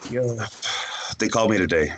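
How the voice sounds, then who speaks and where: A man's voice: fairly low pitch, moderate pace, a little hiss or hum, lightly acted. A man acting a casual, teasing, frank boyfriend in a screenplay table read, speaking bluntly and familiarly. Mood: upbeat.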